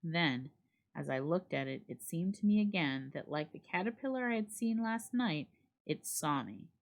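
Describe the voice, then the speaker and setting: A woman giving a measured, expressive dramatic reading of a literary horror story. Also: sits low. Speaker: library staff reader.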